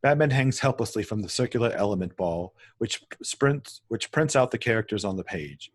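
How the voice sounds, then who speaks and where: A man serves as narrator for a screenplay table read, delivering scene headings and action lines. His voice sits fairly low and has a noticeably husky, rough edge. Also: lively intonation, brisk. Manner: stumbles and corrects himself while reading. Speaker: narrator reading stage directions.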